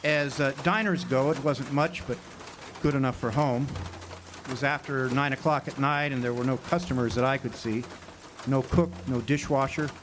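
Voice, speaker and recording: A man's voice: medium pitch, fairly even intonation, moderate pace, lightly acted. A man playing a wry noir drifter, noisy recording. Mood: wry, reflective. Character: world-weary drifter narrating his hardboiled small-town romance. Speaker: actor in a radio drama. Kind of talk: noir-style radio drama narration and dialogue. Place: outdoor amphitheater stage. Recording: noisy outdoor live recording with birdsong.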